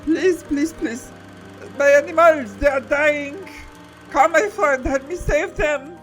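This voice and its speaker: A man's voice: very high-pitched, takes it slowly, fairly bright tone, soft background music, exaggerated voice. A man playing a panicked, tearful man begging for help in an amateur audio drama. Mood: panicked, pleading. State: crying, pleading.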